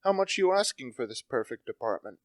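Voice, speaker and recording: A teenage student actor playing a brisk, assured, well-educated gentleman in an amateur radio play. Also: medium pitch, sing-song, dry recording, clean studio sound, natural voice. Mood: genial, considering. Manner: breezy, assured, clipped questions.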